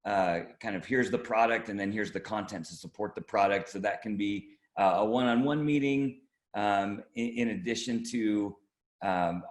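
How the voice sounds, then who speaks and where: Male interviewee, lowish pitch, marketing director, halting conversational delivery, strong room echo. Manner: halting, hedging, conversational explanation. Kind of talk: product preview interview.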